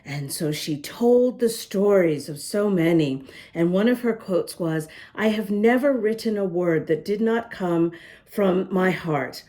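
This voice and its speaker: A woman reading a picture book aloud to young children, gently pausing to talk to them and show the pages. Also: lowish voice. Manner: gentle, slow, talks to young listeners. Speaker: children's storybook reader. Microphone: camera close up, showing the book.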